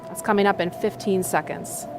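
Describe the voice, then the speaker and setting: A woman's voice: mid-range voice, steady tone, normal speed, low-quality recording. Woman commentating live, talking over music. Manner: raising voice over cheering crowd. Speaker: launch webcast host. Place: indoor room with cheering crowd. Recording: music behind, live launch broadcast.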